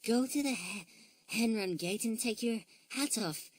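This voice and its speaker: Sweet little girl bear voiced by a woman, soft and fretful, raised high voice, dry. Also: normal speed, dry recording, natural voice. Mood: earnest. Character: small sweet girl teddy bear, anxious.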